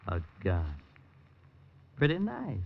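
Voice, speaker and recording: A man's voice: mid-range voice, wildly swooping pitch, takes it slowly, creaky, slight background noise, heavily acted. A cocky young gunman thug. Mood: menacing. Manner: sneering, clipped tough-guy talk. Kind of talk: 1950s radio drama acting. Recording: dull 1950s radio broadcast.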